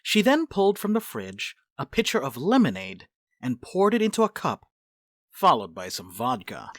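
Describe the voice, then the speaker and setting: A woman's voice: very deep voice, huge pitch swings, normal speed, no room sound, clean studio sound. A woman reading scripted narration and stage directions for a dramatic reading.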